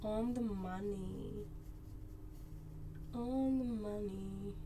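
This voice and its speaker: Laid-back young Australian woman streamer, unhurried rambling chat, steady noise behind her. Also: mid-range voice. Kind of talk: casual chat with live viewers while drawing.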